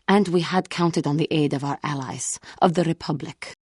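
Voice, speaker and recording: Casting: female alien Jedi warrior for a game, earnest and wounded, low mellow voice with a husky grain, formal and measured. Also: no room sound, clean studio sound. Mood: bitter, grave. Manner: formal, measured, grave phrasing with few contractions. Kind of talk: video game character dialogue.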